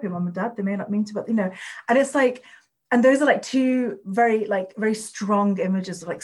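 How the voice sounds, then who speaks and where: A woman whose first language is Urdu, speaking as a poet in a conversational interview and giving long, rambling answers full of filler words. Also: mid-range voice.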